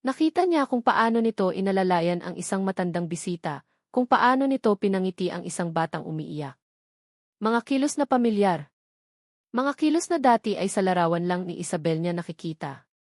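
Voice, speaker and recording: A woman with a Filipino accent narrating a scripted romantic drama in a measured, dramatic way with emphatic short phrases. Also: lowish voice, lively intonation, no echo, clean studio sound.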